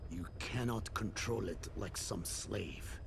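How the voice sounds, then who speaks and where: Slow, gravelly, anguished old captive. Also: male voice, low voice, background noise, heavily acted. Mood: anguished, pleading. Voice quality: gravelly, breathy undertone. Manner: slow, solemn, halting. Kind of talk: video game dramatic dialogue.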